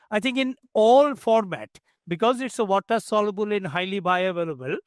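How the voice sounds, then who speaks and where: Male expert panelist, high-pitched, halting emphatic explanations, dry acoustic. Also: dry recording. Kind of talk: explanatory webinar answers.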